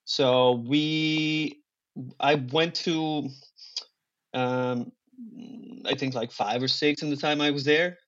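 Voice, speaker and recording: A man whose first language is Spanish, a guest talking casually about acting and languages in an animated, emphatic way. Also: on the high side, slow pace, pauses often, highs cut off. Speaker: guest, actor. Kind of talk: casual interview talk.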